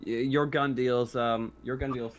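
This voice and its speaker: A man acting as game master, narrating and ruling on a tabletop roleplaying game in a casual, halting way that repeats phrases. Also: medium pitch, fairly even intonation, highs cut off.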